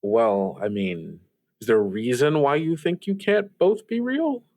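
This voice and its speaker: A man acts out an easygoing host of a late call-in radio show. He speaks conversationally at a middle pitch, hesitating as he searches for words. He is supportive and reassuring with his callers. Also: expressive, measured pace, a little mellow. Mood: gentle, reassuring. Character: easygoing, supportive male radio DJ in a strange world. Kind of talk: improvised radio show acting.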